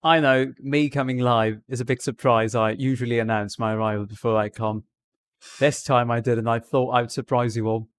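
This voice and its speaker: Male streamer, affected Received Pronunciation British accent, casual direct-to-viewer chat, mid-pitched voice, dry sound. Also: fairly even intonation, brisk, smooth, dry recording. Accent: put-on British Received Pronunciation. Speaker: live streamer.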